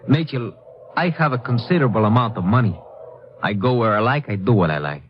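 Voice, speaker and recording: An actor playing a proud, jealous, wealthy mountaineer with a formal, haughty manner in an old radio drama. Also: male voice, medium pitch, animated, fairly quick, lightly acted. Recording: muffled vintage radio with faint noise.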